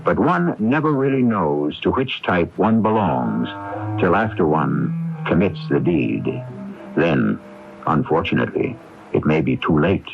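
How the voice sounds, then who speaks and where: A man's voice: sits low, very animated, a little slow, gravelly. A man hosting a radio mystery series with wry, theatrical scripted narration. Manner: wry, sardonic. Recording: muffled AM radio recording. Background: music.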